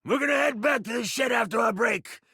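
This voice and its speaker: Gravelly, brash talking garden trowel. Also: male voice, extremely high pitch, fairly quick, sharp tone, dry recording, raised voice, studio quality, voice pushed up, heavily acted. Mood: bossy. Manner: slangy, wisecracking. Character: brash blue-collar male talking garden trowel, grumpy foreman.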